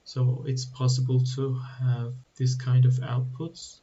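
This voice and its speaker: A man explaining code slowly with halting restarts, as a tutorial host. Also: medium pitch, monotone, dark tone, muffled recording, a little hiss or hum. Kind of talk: screen-recorded coding walkthrough.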